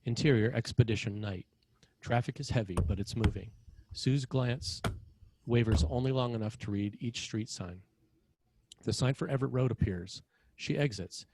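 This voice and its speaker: A man's voice: medium pitch, normal speed, background noise. A man reading scripted stage directions as the narrator of a screenplay.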